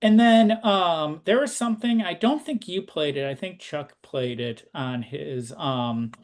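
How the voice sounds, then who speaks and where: An American man with a fairly high-pitched voice conducts a casual interview. He speaks haltingly, rewording his questions as he goes.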